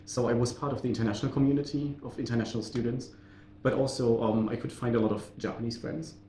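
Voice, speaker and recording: A young man from Germany, a doctoral student, answering interview questions in a relaxed, thoughtful way. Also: mid-range voice, fast talker. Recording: echoey room with faint noise.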